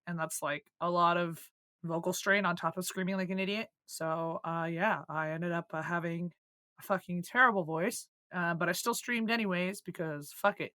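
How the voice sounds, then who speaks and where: A hoarse, croaky woman co-hosting a casual podcast, swearing and joking loosely. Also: fairly low pitch, fairly even intonation, moderate pace, bright, forceful tone, no echo. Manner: swearing, joking, laughing often. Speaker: podcast co-host.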